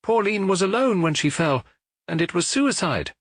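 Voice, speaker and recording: Audiobook role: male reader as a blunt, sneering sleuth, brisk, challenging, lively rising pitch. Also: no echo, clean studio sound. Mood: impatient. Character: fierce, impatient male detective, blunt and sneering.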